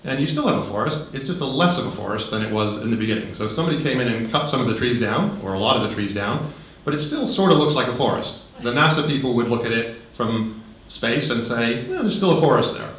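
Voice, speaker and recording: Man, conference speaker, casual and humorous, handheld mic, booming hall echo, faint background hum. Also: expressive, fast, few pauses, a bit bright. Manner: conversational with frequent ums and light humor. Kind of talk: relaxed conference talk. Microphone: handheld microphone. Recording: very echoey hall.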